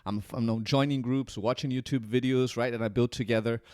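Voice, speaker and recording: A man's voice: mid-range voice, fairly even intonation, fairly quick, darkish tone, smooth, no echo, clean studio sound. A man in his forties from Brazil with a Brazilian accent, talking casually to camera as a channel host, rambling with many restarts. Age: 40s.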